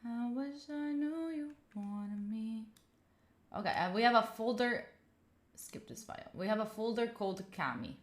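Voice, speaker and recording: A woman's voice: a little high, animated, a little slow. A young woman with an Italian accent chatting casually on a live stream, rambling and giggling with lots of fillers. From Italy. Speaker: study streamer.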